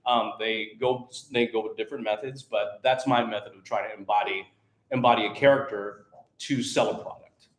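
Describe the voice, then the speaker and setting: A man speaking on a live panel as a voice actor, animated and demonstrative, often repeating words as he explains. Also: on the low side, moderate pace. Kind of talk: panel talk. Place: event venue, live panel. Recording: echoey room.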